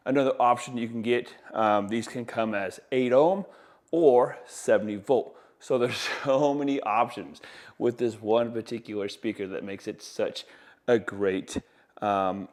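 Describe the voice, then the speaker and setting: A man's voice: on the low side, a little slow. A man giving a casual, enthusiastic product demonstration as an installer, with folksy, colloquial sales patter. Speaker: audio installer presenting products.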